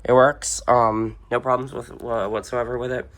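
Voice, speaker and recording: A video host giving a casual, unscripted show-and-tell indoors in an informal, halting way. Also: mid-range voice, little pitch movement. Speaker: video host and weather radio collector. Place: indoors, in a room with shelves of radios.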